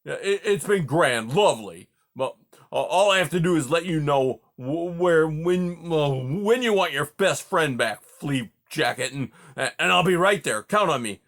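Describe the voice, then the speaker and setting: A man's voice: mid-range voice, lively intonation, unhurried, creaky, sharp tone, heavily acted. A man voicing a grumpy old male porcupine who huffs, sputters and swears in silly made-up oaths. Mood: indignant.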